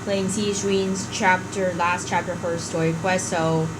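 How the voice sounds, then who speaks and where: A woman hosting a casual game playthrough, commenting and reading aloud with halting delivery. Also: lowish voice, flat delivery, very noisy. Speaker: gaming channel host. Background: loud game music.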